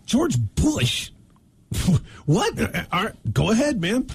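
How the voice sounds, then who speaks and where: A man's voice: extremely high pitch, very animated, extremely slow, slightly rough. A man hosting a comedy radio show in a casual, informal bantering style. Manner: informal, often calls people "man".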